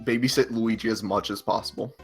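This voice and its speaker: A man's voice: on the low side, fairly even intonation, fairly quick, breathy edge. A man tossing wry quips into casual banter as a livestream co-host. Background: music playing faintly.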